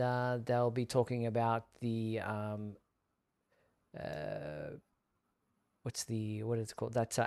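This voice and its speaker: A man's voice: mid-range voice, smooth. A man hosting a fan live show, presenting news casually in an easygoing, meandering way.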